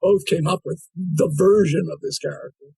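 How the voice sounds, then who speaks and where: A man's voice: on the high side, moderate pace, gravelly, dark tone, slightly rough, no room sound, poor audio. A male interviewer asking probing questions, often restarting his sentences. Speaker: interviewer, host.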